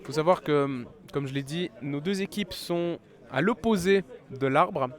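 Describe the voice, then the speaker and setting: A man's voice: on the high side, unhurried. A man with a head cold giving live esports commentary, chatty and full of fillers and restarts. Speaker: esports caster.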